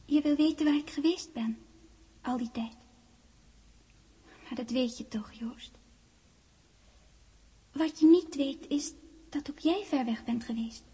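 High, mellow, dreamlike young woman. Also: takes it slowly, long pauses. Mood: wistful, haunting. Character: dreamlike young woman from a man's memory, tender, teasing. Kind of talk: 1960s radio drama acting.

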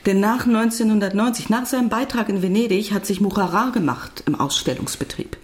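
Actress as stern earnest woman, medium pitch, precise, admonishing, radio drama. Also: brisk, natural voice. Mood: stern, insistent. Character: Elzbieta, stern, earnest wife of a writer.

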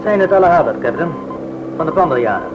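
A man's voice: a little high, big pitch swings, quick pace, music in the background. An actor playing a male radio operator on a 1930s airliner, giving deferential, factual reports in a radio drama. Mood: factual reporting. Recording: muffled, loud engine drone behind.

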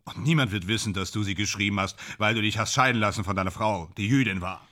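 A man's voice: fairly low pitch, quick pace, sharp tone. A man in a German radio play playing a sardonic, brooding film actor who drinks hard and talks bitterly and theatrically. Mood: bitter, resigned. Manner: sardonic, brooding, theatrical, swinging from muttering to outbursts.

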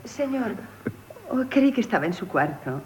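An actress playing a shrewd, flattering innkeeper, coquettish and chatty, in a radio play. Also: female voice, fairly high pitch, moderate pace, slight background noise. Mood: flattering, deferential. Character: shrewd, flattering, independent young innkeeper woman. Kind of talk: theatrical radio play acting in Castilian Spanish.

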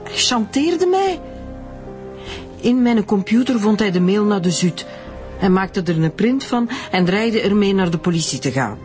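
An actress with a Flemish accent playing an anxious, middle-aged schoolteacher suspected of murder, protesting in a radio drama. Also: female voice, mid-range voice, sing-song, measured pace, darkish tone, faint music behind. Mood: distressed, insistent. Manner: agitated, protesting.

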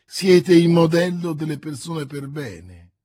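A man voicing a cool, guarded young male swordsman who speaks in terse, low-keyed lines. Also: sing-song, measured pace, darkish tone, husky, no room sound, heavily acted. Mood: ironic.

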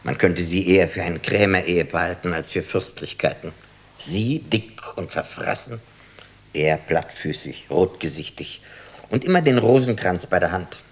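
An actor in a radio drama playing a wry, irreverent official, speaking in a measured, ironic way. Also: male voice, low-pitched. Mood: sardonic. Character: wry, irreverent male official arranging an imperial banquet.

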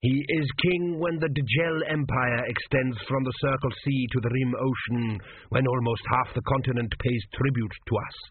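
A man's voice: medium pitch, fairly even intonation, normal speed, slightly rough, close to an everyday voice. A man voicing an earnest, flustered young king with a raised, eager tone. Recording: tinny narrow-band audio.

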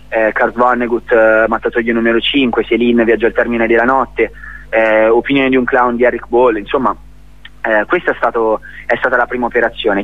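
A man from Italy giving a spontaneous radio interview as a theatre director, speaking haltingly and repeating words as he searches for phrasing. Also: mid-range voice, no room sound, narrow, tinny audio.

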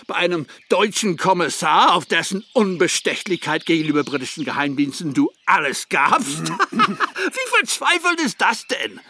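An actor playing a cynical, scornful Irish former militant and double agent in a German crime radio play. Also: male voice, high-pitched, very animated, moderate pace, hardly any pauses, sharp tone, exaggerated voice. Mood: taunting. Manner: scornful, sarcastic, theatrical.

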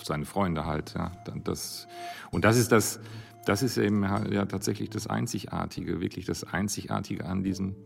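Very deep, creaky, searching male commentator. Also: faint music behind. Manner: halting, searching, repeats phrases. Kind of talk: spontaneous reflective discussion.

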